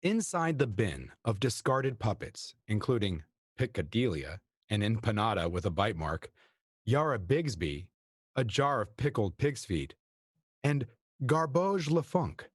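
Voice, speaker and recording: A man with a husky voice reading stage directions as scripted narration of a play. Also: mid-range voice, very animated, measured pace, no echo, studio quality.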